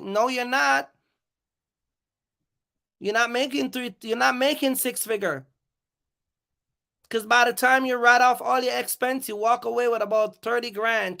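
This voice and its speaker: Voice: medium pitch, vocal fry, bright, forceful tone. A livestream host on an off-the-cuff rant, speaking emphatically and confrontationally and repeating phrases to drive points home. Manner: confrontational, challenging listeners. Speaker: live stream host.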